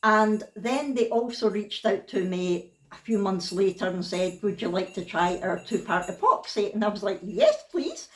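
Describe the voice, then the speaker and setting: A woman's voice: medium pitch, very animated, moderate pace, echoey room, rough recording. A woman with a thick Scottish accent, chatting frankly and down to earth in a casual vlog. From Scotland. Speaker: vlogger.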